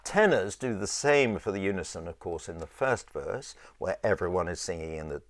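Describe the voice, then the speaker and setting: A man with a low voice teaching a choir in an informal, rambling and halting conversational way. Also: expressive, no room sound. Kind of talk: informal instructional talk with sung demonstrations.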